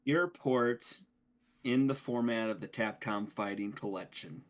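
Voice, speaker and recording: A man's voice: lowish voice, measured pace. A man talking casually as a podcast co-host, explaining things in a rambling way. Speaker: podcast co-host reviewing video games.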